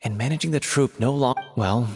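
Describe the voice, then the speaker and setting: A man's voice: mid-range voice, brisk, darkish tone, faint music behind, natural voice. Man acting an earnest young troupe player, reverberant. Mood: confessional, wistful. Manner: hesitant, sighing, confessional. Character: earnest young man in a theatre troupe, guilt-laden lover. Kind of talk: English video game voice acting.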